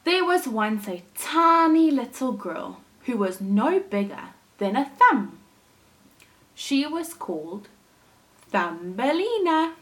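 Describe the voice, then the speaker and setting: A woman teacher reading a storybook aloud to young children, in a deliberate, expressive way with dramatic emphasis. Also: fairly high pitch. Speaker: primary school teacher reading to her class.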